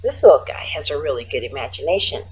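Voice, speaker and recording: A woman reading a children's storybook aloud like a teacher, expressively, with casual, hushed asides. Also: sits low, animated, fast talker, slight background noise, low-quality recording.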